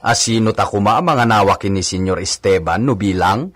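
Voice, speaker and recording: A man's voice: on the low side, normal speed, fairly bright tone. A man playing a resigned household servant in a radio drama.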